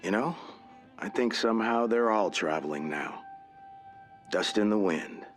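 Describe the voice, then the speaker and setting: An actor playing a lonely, gentle radio DJ survivor in an audio drama, talking in a halting, reassuring way. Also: male voice, on the low side, lively intonation, soft background music. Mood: wry, wistful.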